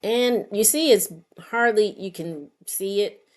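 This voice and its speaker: A woman's voice: medium pitch, slow. A middle-aged woman narrating an informal step-by-step tutorial, unscripted and thinking aloud as she works. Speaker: craft tutorial host.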